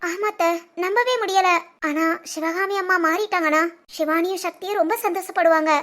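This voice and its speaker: A woman playing a gentle, respectful young daughter-in-law in a family audio drama, polite and agreeable. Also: very high-pitched, fairly quick. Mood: hopeful.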